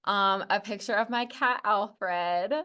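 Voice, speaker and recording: A woman advisor introduces herself in a relaxed, matter-of-fact way. Her voice is smooth and resonant at a middle pitch, with the odd um between phrases. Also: takes it slowly. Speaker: student success advisor. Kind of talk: casual self-introduction in an info session.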